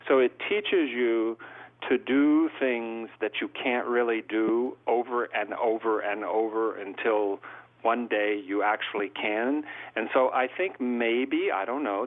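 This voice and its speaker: A middle-aged man from California, a court reporter and interview guest, telling long stories in a thoughtful, conversational way. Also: lowish voice. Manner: thoughtful, long storytelling answers. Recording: tinny phone-like line.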